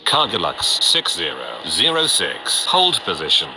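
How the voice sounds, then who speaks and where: A man's voice: low-pitched, wildly swooping pitch, measured pace. A man speaking as an air traffic controller in clipped, formulaic radio phraseology. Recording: very noisy, loud aircraft engine noise.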